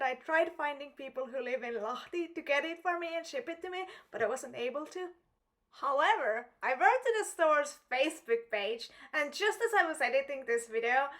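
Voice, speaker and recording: A woman's voice: high-pitched, fairly bright tone. A young woman narrating her convention vlog as a voice-over, bubbly and piling on "really" for emphasis. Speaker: vlogger.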